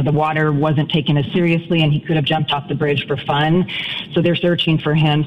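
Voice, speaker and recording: A woman's voice: deep voice, a little hiss or hum. Woman reporting over a muffled phone line. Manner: urgent, informative, talking while on the road. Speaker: news anchor reporting by phone.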